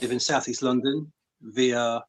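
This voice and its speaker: A man's voice: mid-range voice, fairly even intonation, measured pace. A man introducing himself as a guest in casual chat.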